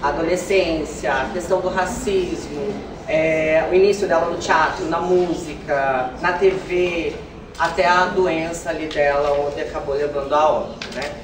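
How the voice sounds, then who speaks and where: A man's voice: fairly high pitch, fairly even intonation, normal speed. A Brazilian man explains his theatre company's work in an interview. His voice sits a little away from the mic, with strong room echo around it. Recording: roomy, distant mic, noisy background.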